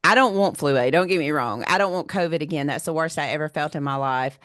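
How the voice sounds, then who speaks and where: A woman with a husky, smoker-like voice, hosting a casual podcast chat. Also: deep voice, fast talker, a bit bright, no echo, studio quality. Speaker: podcast host.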